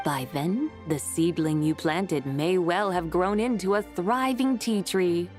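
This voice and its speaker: A woman playing a composed, wry immortal woman who speaks formally and measuredly, gently teasing, in an anime game. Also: lowish voice, unhurried, soft background music, lightly acted. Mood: encouraging. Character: composed, wry female immortal adeptus with sly wisdom.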